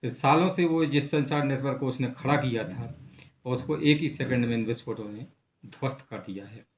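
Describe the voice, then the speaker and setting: A thin, phone-like recording with plenty of room echo carries an Indian man's voice. He presents news as an unscripted explainer, speaking haltingly at a medium pitch. He often repeats himself and leans on filler words.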